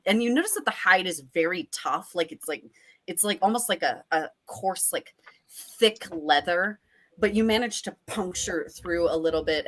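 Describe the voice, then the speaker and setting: Playful low-voiced woman running a tabletop game. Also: fairly bright tone. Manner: playful, with dramatic descriptive asides. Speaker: game master. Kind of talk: improvised tabletop game narration.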